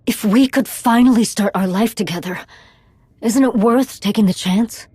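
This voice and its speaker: A woman playing a devoted, steadfast fugitive in a video game, speaking in a measured, earnest way. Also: medium pitch, darkish tone, husky, dry recording, lightly acted. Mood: pleading, reassuring. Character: steadfast, devoted female fugitive, soothing and resolute.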